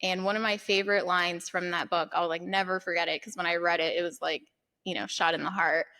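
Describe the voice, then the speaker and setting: Bright-voiced woman guest, candid. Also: fairly low pitch, flat delivery, a little fast. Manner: candid, laughs easily. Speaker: podcast guest, author. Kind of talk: casual interview chat.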